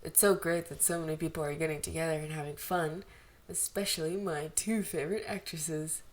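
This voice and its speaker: A woman voicing a breezy, evasive gentleman who feigns innocence, airy and dismissive, in a fan fiction reading. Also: low voice, fairly even intonation, a little fast, natural voice. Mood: delighted. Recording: amateur home recording with background noise.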